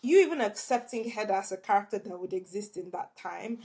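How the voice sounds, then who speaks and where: A young woman co-hosting a film podcast, speaking in a measured, thoughtful way. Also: medium pitch, animated, warm tone, breathy edge. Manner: measured, thoughtful, weighs her words. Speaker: podcast co-host, film reviewer. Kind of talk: casual review chat.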